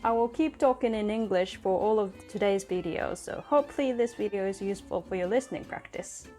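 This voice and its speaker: A Japanese woman hosts in English with a Japanese accent, her voice sitting at a middle pitch. She chats casually for listeners learning the language, with guitar music playing underneath. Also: brisk, warm tone. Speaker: host. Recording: music behind the voice.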